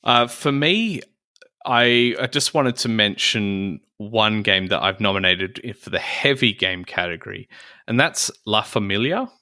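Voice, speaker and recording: A man's voice: lowish voice, unhurried, pushed and bright, no room sound, studio quality. A man co-hosting a casual board game podcast, enthusiastic about the games he recommends. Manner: enthusiastic, upbeat. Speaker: podcast co-host. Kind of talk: casual chat.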